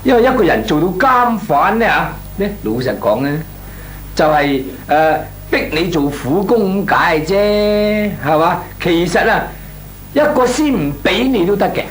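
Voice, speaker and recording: A man's voice: high voice, expressive, measured pace. An actor playing a talkative, cautious small businessman in a Cantonese comic radio drama, hemming and chuckling as he talks. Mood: musing. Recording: clearly noisy, humming radio recording.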